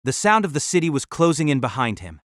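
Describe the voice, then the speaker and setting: A man's voice: on the high side, sing-song, fast talker, fairly bright tone, no echo, studio quality. A man reading scripted narration of an action story.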